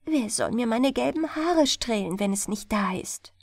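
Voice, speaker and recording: A woman voicing a melancholy, bargaining female water spirit in a storybook, slow and wistful. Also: a little high, animated, dry recording, clean studio sound. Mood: sorrowful.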